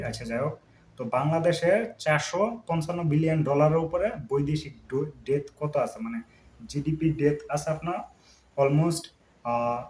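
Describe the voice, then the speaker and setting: Echoey, higher-voiced man explaining. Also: a little mellow. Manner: conversational, peppers speech with English words and check-in tags. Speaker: YouTube host. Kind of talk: informal explainer narration. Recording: echoey room, faint background noise.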